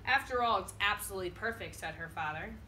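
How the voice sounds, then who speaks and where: A woman teacher reads a picture book aloud to young children, her voice bright and clear. She lifts her pitch for the characters' lines and drops back down for the narration. She sits a little away from the mic, with faint noise in the room. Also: lively intonation, quick pace, recorded from a distance, rough recording.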